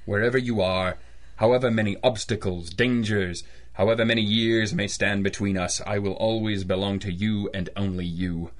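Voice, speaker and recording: An actor playing a stern, cynical private detective in an old-style radio drama, with clipped, wry, accusing delivery. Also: male voice, on the low side, fairly even intonation, moderate pace, natural voice. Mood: grimly insistent. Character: hard-boiled, cynical adult male private detective, stern and relentless.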